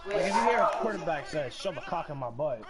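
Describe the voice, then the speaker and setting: A man's voice: on the high side, animated, fast, crowd noise. A man chatting bluntly and joking crudely with friends during an online game. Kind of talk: casual gaming chat.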